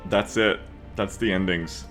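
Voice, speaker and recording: A man's voice: low voice, steady tone, unhurried, a bit bright. A man streaming games, chatting casually and jokingly, swearing freely. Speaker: game streamer. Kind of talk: casual stream chat about a game. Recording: music behind the voice. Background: music.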